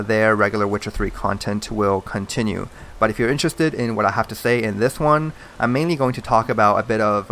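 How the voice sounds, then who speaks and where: Man, Chinese accent, Mandarin native, gravelly fry, rambling gameplay commentary. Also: fairly low pitch, a bit bright, a little hiss or hum. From mainland China. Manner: rambling, hesitant, many fillers. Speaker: gaming channel host.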